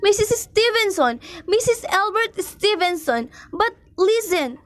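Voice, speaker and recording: A woman's voice: very high voice, sing-song, unhurried, full character voice. A woman playing an agitated, imperious invalid housewife in an amateur radio drama, insistent and indignant. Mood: anxious, impatient. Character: panicky, imperious, demanding invalid housewife, shrill and impatient.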